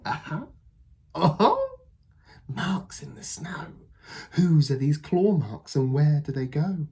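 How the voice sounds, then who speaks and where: A woman voicing a small, brave, curious young female monster in a children's storybook, with a high excited voice. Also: slow pace, breathy edge, full character voice. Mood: eager.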